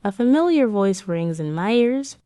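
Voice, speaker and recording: A woman's voice: mid-range voice, big pitch swings, moderate pace, dark tone, clean tone, no echo. Woman narrating a romance story, dry close sound. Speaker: narrator of a romance comic dub. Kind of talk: scripted first-person story narration.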